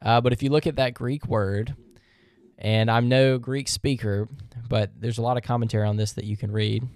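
A man's voice: medium pitch, steady tone, normal speed, darkish tone, no echo. Male podcast host, mid-range voice, methodical Bible-teaching monologue, dry close recording. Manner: earnest, methodical, reads verses aloud; rhetorical questions.

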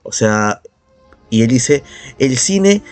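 A man with a Peruvian accent talks as a podcast co-host over faint background music. His mid-pitched voice carries an argumentative, sarcastic edge, with casual swearing and an informal, opinionated flow. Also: sing-song, slow, darkish tone.

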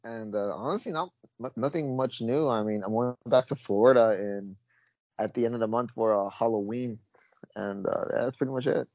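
A North American man co-hosting a podcast in casual chat, laid-back with drawn-out uhs. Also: mid-range voice, a little mellow, dry recording, dull audio. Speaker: podcast co-host.

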